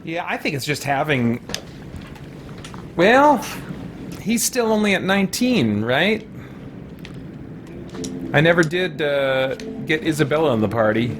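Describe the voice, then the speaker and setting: A man streaming a game, chatting casually and thinking aloud in a halting, musing way. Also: a little high, big pitch swings, over music. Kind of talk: casual live gaming stream chat.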